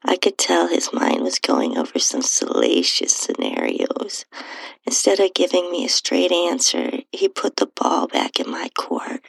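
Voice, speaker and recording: A woman narrating an intimate first-person erotic story in a breathy, hushed, sultry voice. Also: on the low side, huge pitch swings, unhurried, no room sound, low-quality recording. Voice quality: breathy, whispery, husky. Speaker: podcast host and narrator reading own story.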